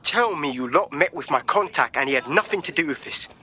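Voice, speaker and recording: Bright, urgent London rebel. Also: male voice, fairly high pitch, lively intonation, fairly quick. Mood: worried. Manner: slangy, urgent. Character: worried streetwise London resistance man. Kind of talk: game cutscene voice acting.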